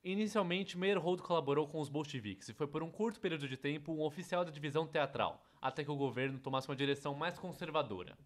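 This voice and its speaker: A man's voice: a little high, fast, few pauses. A man with a Brazilian accent presenting an educational course in scripted narration. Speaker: educational presenter dubbing a theatre history course.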